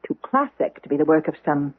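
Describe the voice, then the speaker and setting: An actress playing a sharp-witted, bookish older American woman in a radio drama, speaking precisely with wry emphasis. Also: low voice, big pitch swings, fairly quick, narrow, tinny audio. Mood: pondering, intent. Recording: thin band-limited old radio recording.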